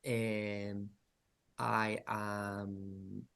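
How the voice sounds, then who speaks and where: A man with a Liverpool accent, a community arts director giving a thoughtful, halting talk over a video call with many pauses and fillers. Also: lowish voice, little pitch movement, vocal fry, fairly bright tone, clean tone. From England. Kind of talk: online presentation talk.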